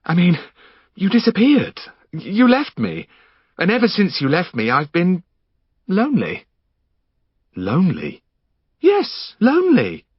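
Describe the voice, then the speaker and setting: A man voicing a manic, unkempt young man who is obsessed with his old friend, talking in a high, frantic, giggling way. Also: huge pitch swings, slow pace, long pauses, husky, no room sound, heavily acted. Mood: wistful. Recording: slightly dull dry studio recording.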